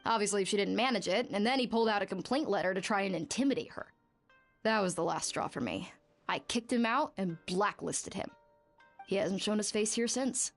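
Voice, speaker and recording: A woman's voice: mid-range voice, expressive, brisk, soft background music, close to an everyday voice. A woman playing a blunt, feisty young bathhouse worker in a video game, brash and impatient. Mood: exasperated.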